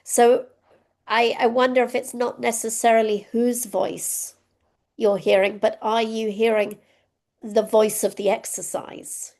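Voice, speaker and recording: A woman's voice: a little high, little pitch movement, unhurried, creaky, a little mellow. A woman giving a keynote, answering a question over a video call in a reflective, thinking-aloud way. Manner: reflective, self-critical, thinks aloud with ums. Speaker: keynote speaker.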